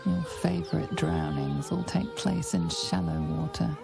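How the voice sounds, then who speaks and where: Very deep, gravelly sinister narrator. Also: female voice, sing-song, music in the background, exaggerated voice. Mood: sinister relish. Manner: menacing, deliberate, savouring. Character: sinister inner narrator voicing dark, malicious urges. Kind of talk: dark fantasy game narration.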